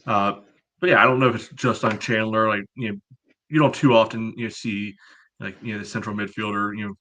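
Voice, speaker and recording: A low-pitched man with a bright, forceful edge to his voice, analyzing soccer in casual conversation. His intonation stays fairly flat, and he moves haltingly through his points, with "you know" scattered all through. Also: a little hiss or hum. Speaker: soccer podcast panelist. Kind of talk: casual sports analysis chat.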